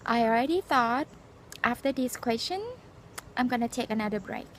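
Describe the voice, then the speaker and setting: A woman in her forties from Thailand, speaking English with a Thai accent as a second language, telling her exam story in an earnest, halting way with many fillers. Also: on the high side, a little hiss or hum. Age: 40s. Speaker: nurse sharing exam experience. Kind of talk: casual personal vlog, storytelling and advice.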